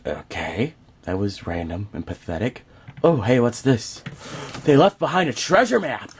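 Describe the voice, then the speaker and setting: A man's voice: medium pitch, very animated, unhurried, slight background noise. A man performing an amateur comedic character skit in a theatrical, exclamatory manner.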